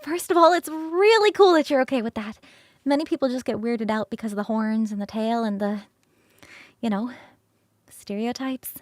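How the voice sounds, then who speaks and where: High-voiced, flirty succubus roommate, acted by a woman. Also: normal speed, dry recording, studio quality, lightly acted. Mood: excited, grateful. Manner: bubbly, rambling, easily sidetracked, teasing. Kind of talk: ASMR roleplay acting.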